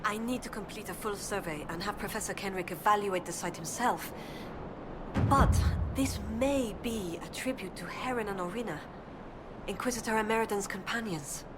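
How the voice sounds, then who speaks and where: A woman's voice: mid-range voice, moderate pace, background noise, natural voice. An actress playing a young female elven research assistant in a video game, earnest and determined, speaking in a precise, scholarly way. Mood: thoughtful, explanatory. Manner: precise, scholarly, thoughtful delivery.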